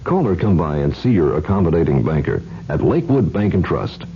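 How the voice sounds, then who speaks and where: A man with a gravelly, raspy voice reading a scripted radio advertisement as an announcer. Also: deep voice, sing-song, a little fast, a little hiss or hum. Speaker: bank commercial announcer. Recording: muffled 1970s radio recording.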